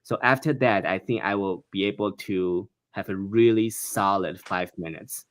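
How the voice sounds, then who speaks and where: A young man from Taiwan speaks with a Taiwanese accent, his voice sitting fairly low. He talks plainly and earnestly over a video call, quick to laugh. The sound is close and dry, with no room around it. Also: no room sound. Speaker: stand-up comedian, guest. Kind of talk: interview conversation.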